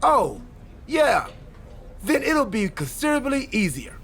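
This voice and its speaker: High, animated wisecracking wrestler. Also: male voice, unhurried, vocal fry, full character voice. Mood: eager planning. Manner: wisecracking, dramatic exposition. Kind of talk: video game cutscene acting.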